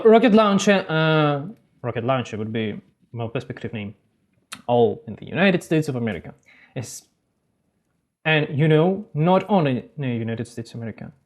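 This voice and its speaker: Lively, joking man recapping a game. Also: a little high. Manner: halting non-native English, joking digressive asides. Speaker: gaming channel host. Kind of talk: game story recap with commentary.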